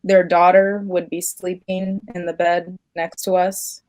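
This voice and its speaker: A woman's voice: on the low side, level tone, unhurried, darkish tone. A woman recounting her experience conversationally, as an interviewee.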